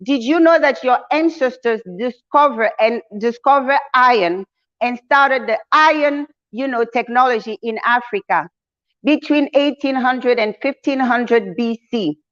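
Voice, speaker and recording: A woman with a notably high voice delivers a motivational address to her online community. She is emphatic and preacher-like, often using endearments for her listeners. The recording is dull and a little lo-fi. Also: a little slow, fairly bright tone. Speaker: channel host, community leader.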